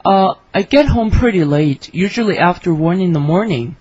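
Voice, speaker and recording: A woman reading her part in a scripted English conversation for learners, with clear, careful delivery. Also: on the low side, expressive, normal speed, darkish tone, no room sound. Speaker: dialogue reader for English learners. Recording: dry, slightly dull studio recording.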